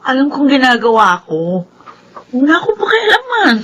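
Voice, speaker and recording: High-pitched, dramatic, stubborn young woman. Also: huge pitch swings, normal speed, fairly bright tone, full character voice, poor audio. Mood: dismissive, defensive. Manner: melodramatic, emotional. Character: stubborn, defiant, scheming young woman, often drunk. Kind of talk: Filipino radio drama acting.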